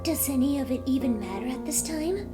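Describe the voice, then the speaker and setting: A woman's voice: sits high, lively intonation, fairly quick, loud music behind the voice, voice pushed up. A woman voicing a seductive, lonely vampire pony with a courteous, teasing manner in a fan fiction audio story. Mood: measured.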